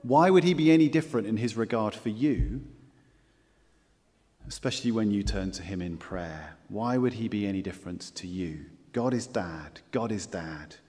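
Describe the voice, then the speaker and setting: A man preaching a sermon as pastor, earnest and measured, repeating key phrases and slowing down for scripture quotes. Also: fairly low pitch, lively intonation, a little mellow, big-room sound.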